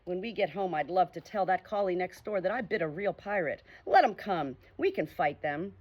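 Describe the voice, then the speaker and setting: A woman's voice: lowish voice, animated, normal speed, husky, muffled recording. A woman doing a cocky, scrappy dog. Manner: boisterous, cocky. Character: brave scrappy dog, eager to fight.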